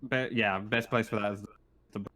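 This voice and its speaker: A man speaking casually as a game master, narrating a tabletop game in a halting, hesitant way. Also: fairly low pitch, steady tone, bright, forceful tone.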